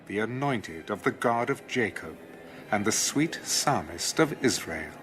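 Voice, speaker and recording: Slow man narrating scripture. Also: on the low side, lively intonation, a bit bright.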